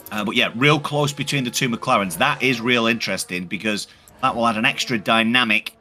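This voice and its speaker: A man co-commentating a sim race with a crisp, bright, forceful tone at a mid pitch. He breaks down standings and lap times analytically, with a touch of dry humour. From New Zealand. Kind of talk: live esports race commentary.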